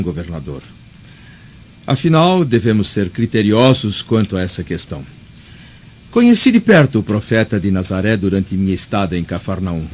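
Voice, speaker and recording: A man's voice: lowish voice, darkish tone, breathy edge, lo-fi sound. An actor playing a composed, principled Roman senator in a radio drama, speaking formally and declaiming his lines. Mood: measured approval.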